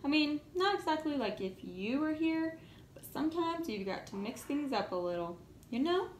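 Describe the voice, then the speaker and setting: A woman reading as an earnest, indignant young schoolchild in a storybook, with expressive emphasis. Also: high-pitched, moderate pace, pitched above the performer's own voice. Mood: cheerful, warming up. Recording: echoey room, faint background noise.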